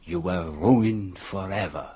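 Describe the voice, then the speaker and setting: An actor playing a shrewd, methodical detective in a radio drama, speaking deliberately and theatrically as he builds his case point by point. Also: low-pitched, mellow, slightly rough, full character voice. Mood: accusing, assured. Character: shrewd, methodical male detective unmasking a killer.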